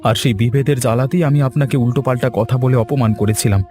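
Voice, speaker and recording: A man's voice: medium pitch, fast, mellow, faint music behind, natural voice. A man playing an earnest, wronged and hot-headed villager in a cartoon story, speaking emphatically. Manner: emphatic, declamatory. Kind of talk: Bengali cartoon story acting.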